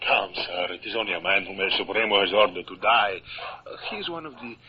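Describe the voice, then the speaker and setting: A man's voice: lowish voice, lively intonation, fast talker, crowd noise, close to an everyday voice. A man playing a servile, callous general in a 1950s radio drama, theatrical and obsequious. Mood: callous, matter-of-fact. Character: servile, cringing Spanish-speaking general, callous to prisoners. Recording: dull old radio recording, noisy background.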